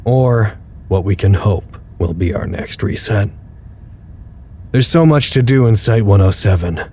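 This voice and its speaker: A low-voiced, wry site researcher. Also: male voice, expressive, measured pace, a little mellow, slight background noise. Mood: weary, grave. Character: weary dutiful site researcher recording dry, wry status logs. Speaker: narrator. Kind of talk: audio drama log narration.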